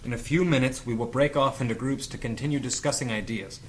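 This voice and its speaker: A man's voice: medium pitch, quick pace, a little hiss or hum, natural voice. A man playing an earnest, solemn leader of a secret political movement, speaking like an orator in an audio drama read-through. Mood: composed, formal.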